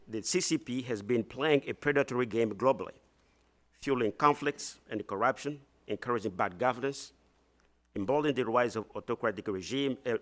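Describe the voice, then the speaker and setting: A man in his thirties with a Congolese accent, reading formal prepared testimony as an expert witness in a measured way. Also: mid-range voice, fairly bright tone. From eastern Democratic Republic of the Congo. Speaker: expert witness at a legislative hearing.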